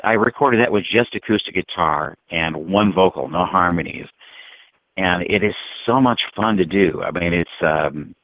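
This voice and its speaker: A man chatting casually as a musician guest on a show, rambling with trailing pauses. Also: low voice, dry recording, narrow, tinny audio. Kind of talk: casual interview chat.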